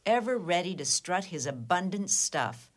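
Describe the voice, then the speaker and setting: A woman's voice: on the low side, very animated, normal speed. A woman playing the disdainful, blunt daughter of a rich dowager in a comedy whodunit radio play, dry and cutting. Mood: scornful.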